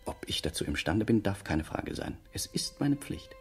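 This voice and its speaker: Stiff gentleman, low animated voice. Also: normal speed, soft background music. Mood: earnest self-resolve. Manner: formal, reserved, clipped replies. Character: stiff, educated brother, coolly ironic and self-disciplined. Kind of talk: German radio play acting.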